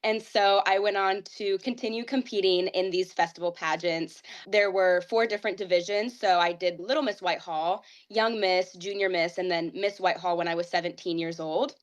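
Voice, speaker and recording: A woman's voice: on the low side, fairly even intonation, normal speed, few pauses. A young woman in her twenties from Ohio, a pageant titleholder telling her story in a casual interview, earnest and upbeat with a rambling flow. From Ohio, United States.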